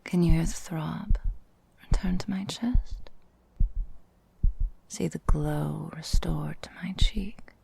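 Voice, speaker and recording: Woman doing a tenderly menacing vampire mistress for asmr, super slow breathy whisper, lots of crackly fry. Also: low voice, warm tone, exaggerated voice. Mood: seductive, hungry. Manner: slow, velvety, formal old-fashioned phrasing. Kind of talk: intimate ASMR roleplay.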